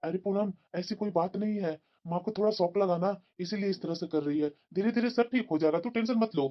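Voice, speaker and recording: A gentle young father, calm and reassuring, with a mid-range voice, as a woman plays him. The recording sounds dull and lo-fi. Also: mid-range voice, fairly quick, lightly acted. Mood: soothing. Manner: soothing and reassuring.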